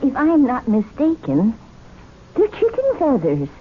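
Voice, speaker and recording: A woman's voice: high voice, huge pitch swings, measured pace, slightly rough, heavily acted. An actress playing a deferential, inquisitive female servant in a radio mystery drama. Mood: puzzled, excited. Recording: thin phone-like old radio recording.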